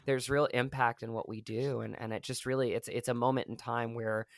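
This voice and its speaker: A man's voice: medium pitch, little pitch movement, brisk, vocal fry, no echo, clean studio sound. A man speaking as an actor guest in an interview, telling personal stories in an earnest, reflective way with frequent verbal hedging. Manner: earnest, hesitant, many "like" and "you know".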